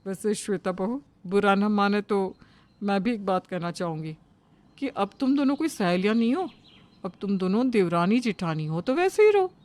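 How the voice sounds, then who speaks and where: A woman's voice: mid-range voice, expressive, moderate pace, darkish tone, lightly acted. A woman voicing a familiar neighbour auntie who admonishes young brides in a cartoon story. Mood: admonishing.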